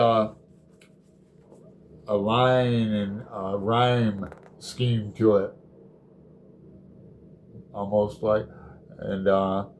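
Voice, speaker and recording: Male book vlogger, medium pitch, slow and halting delivery, casual and digressive, slight background noise. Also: darkish tone. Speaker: host of a book readalong video. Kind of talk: casual chat with poems read aloud.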